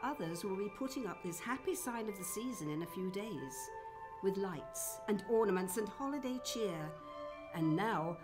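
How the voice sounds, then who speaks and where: A woman announcing and narrating in a theatrical, formal old-time radio show style. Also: mid-range voice.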